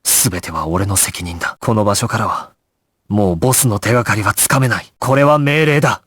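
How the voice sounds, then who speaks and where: A man's voice: fairly low pitch, moderate pace, a bit bright, slightly rough, no echo, studio quality. A male actor playing a resolute, composed young gangster squad leader in an anime video game, terse and authoritative in his clipped orders. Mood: grave, composed. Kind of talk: Japanese anime game voice acting, dramatic.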